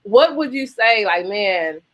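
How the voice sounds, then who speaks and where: A woman's voice: mid-range voice, expressive, slow, a bit bright, no room sound. A woman hosting an interview in an informal, encouraging, chatty way. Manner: informal, encouraging, uses y'all. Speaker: interview host. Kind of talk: casual interview chat.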